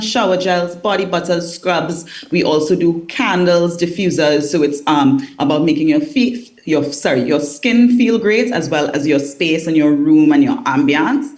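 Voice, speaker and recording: A woman's voice: deep voice, a little mellow. Articulate businesswoman guest on a call. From Trinidad and Tobago. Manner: articulate, laughs easily. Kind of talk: remote interview.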